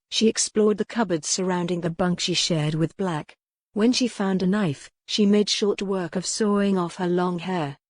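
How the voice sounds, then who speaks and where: A woman narrating a historical romance audiobook in a measured, careful storytelling manner. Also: fairly low pitch, a little mellow, dry recording.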